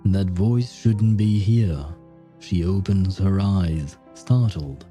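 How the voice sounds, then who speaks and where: A man reading a game's story aloud as a streamer, with measured narration and casual reactions. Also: low voice, mellow, soft background music.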